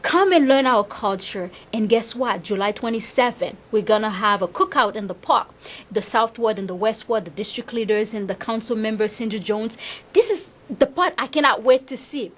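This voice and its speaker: A middle-aged Haitian-accented woman speaks with a fairly high voice. She is eager and animated as a guest on an interview, and she often restarts on small words while she finds her phrasing. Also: normal speed. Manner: enthusiastic, repeats words when searching for them. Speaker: councilmember guest. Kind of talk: interview conversation.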